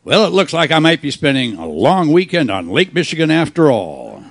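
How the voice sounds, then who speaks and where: A man playing a wisecracking, deadpan private detective in an old-time radio style play. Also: fairly high pitch, normal speed, dry recording, exaggerated voice. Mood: wry. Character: wisecracking hardboiled male private detective narrating his case.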